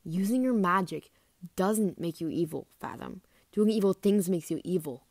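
A woman's voice: lowish voice, normal speed, a little mellow, no echo, clean studio sound. A woman voicing a blunt young female dragon who is exasperated and emphatically scolding. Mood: exasperated, reassuring.

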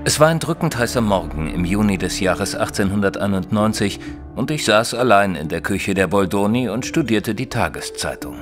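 An actor playing a nineteenth-century bookseller who tells his detective adventures in a measured, literary way. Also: fairly low pitch, animated, close to an everyday voice. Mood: measured storytelling. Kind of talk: scripted first-person audio-drama narration. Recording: studio voice over light music.

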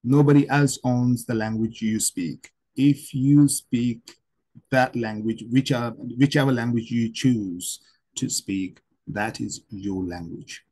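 A middle-aged man from Pakistan with a Pakistani accent, a native Urdu speaker, gives an online English lesson from a home room, explaining slowly and repeating himself. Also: medium pitch, a little mellow. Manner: slow, repetitive explaining with many pauses. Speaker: English teacher. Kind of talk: online lecture to camera. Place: home room with plants and shelves.

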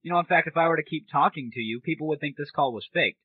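A man's voice: a little high, fast, husky. A man hosting a comedy audio show, talking casually and crudely in a sarcastic, irreverent manner. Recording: muffled, noisy lo-fi audio.

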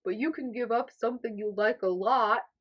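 A woman voicing a gentle, approving grown-up uncle in a children's storybook. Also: fairly high pitch, normal speed, husky, dull audio, pitched below the performer's own voice. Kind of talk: children's storybook read-aloud.

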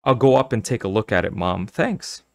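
A man's voice: fairly low pitch, big pitch swings, normal speed, vocal fry, darkish tone, dry recording, clean studio sound. A man voicing a reluctant, self-conscious schoolgirl in a storybook, speaking in a hesitant, awkward way. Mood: confused, awkward.

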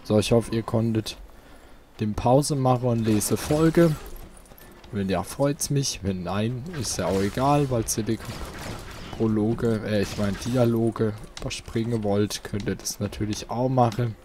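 A man's voice: on the low side, a little slow, mellow, a little hiss or hum. A man hosting a casual let's play, chatting to his viewers. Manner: chatty, talks to viewers, asks for likes. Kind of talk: casual gameplay commentary.

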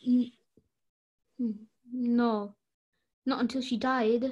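A woman's voice: on the high side, steady tone, takes it slowly, darkish tone, slightly rough, muffled recording. A young woman playing an upset, hesitant, defensive party guest in an amateur radio play. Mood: wistful, defensive. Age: young adult.

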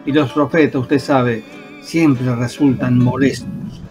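A man's voice: medium pitch, fairly even intonation, takes it slowly, darkish tone, soft background music, low-quality recording. A man patiently explaining history as a storyteller in a radio drama.

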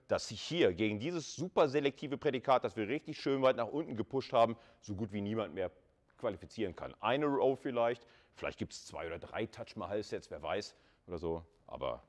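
A man with a fairly low voice gives an informal university lecture. He talks conversationally, as if thinking along with the material, often repeats words to stress them and drops in brief checking tags. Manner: conversational, emphatic repetition, frequent checking tags. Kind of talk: informal screen-recorded lecture with live coding and slides.

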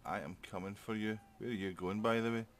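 Deep-voiced man gaming. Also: steady tone, a little fast. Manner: chatty, thinking aloud while playing. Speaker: gaming channel host. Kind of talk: casual let's play commentary.

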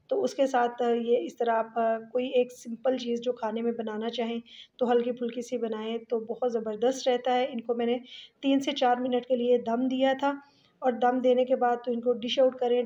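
Chatty Pakistani woman host, slightly high, dull home recording, step-by-step kitchen narration. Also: warm tone. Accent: Pakistani Urdu. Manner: chatty, explaining step by step with fillers. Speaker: cooking channel host. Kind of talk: home cooking tutorial voice-over.

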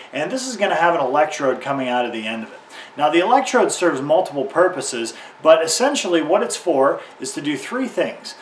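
A man in his thirties giving an informal how-to explanation to camera as an instructor, in plain, simple terms. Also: a little high. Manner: explanatory, uses simple analogies. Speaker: welding instructor. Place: garage or workshop beside a tractor. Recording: roomy, distant mic, faint background noise.

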